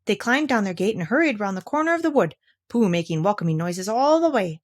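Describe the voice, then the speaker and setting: A woman narrating a children's storybook in an expressive read-aloud style. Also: medium pitch, a little fast. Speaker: storybook reader.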